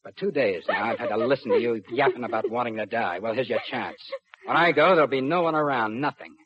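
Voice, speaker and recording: An actor playing a cruel, cocky kidnapper in a 1950s radio drama, with a rough voice and taunting tough-guy slang. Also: mid-range voice, fast talker, crowd noise, close to an everyday voice. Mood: menacing, mocking. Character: sneering, cruel kidnapper, cocky small-time crook turned big. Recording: muffled 1950s radio recording.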